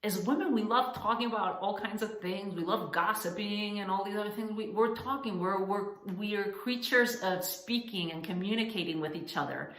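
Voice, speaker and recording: A woman's voice: mid-range voice, a bit bright. A woman from Spain, founder of a coaching channel, giving earnest, encouraging money advice straight to camera, often restarting her sentences. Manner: earnest, encouraging, addresses women as ladies; halting restarts and fillers. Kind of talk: direct-to-camera advice talk. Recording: echoey room.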